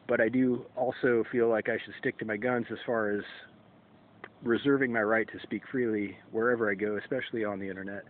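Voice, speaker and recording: A man in his forties talking to camera in a solo vlog, halting and hesitant, often restarting and clearing his throat. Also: medium pitch. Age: 40s. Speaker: YouTube creator. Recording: tinny phone-like sound with faint ambient noise.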